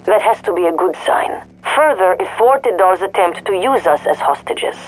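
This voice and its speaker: A woman's voice: fairly low pitch, animated, normal speed, soft background music, rough recording. An actress playing a firm female security chief in a video game, formal and decisive in her speech. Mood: firm, approving. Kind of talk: video game cutscene dialogue.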